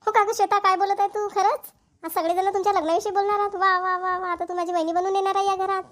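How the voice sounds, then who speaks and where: A woman performs an excitable young cartoon woman. She chats in a familiar, exclamatory village style, like a close sister in a homely comedy. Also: extremely high pitch, quick pace. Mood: excited, delighted. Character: chatty, excitable young Marathi women, two close sisters. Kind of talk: Marathi cartoon comedy dialogue.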